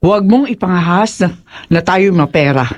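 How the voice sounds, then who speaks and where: Woman playing a fretful parent, very animated pitch. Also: normal speed, dull audio, close to an everyday voice. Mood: fretful warning. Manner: anxious, cautioning. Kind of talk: horror radio drama acting.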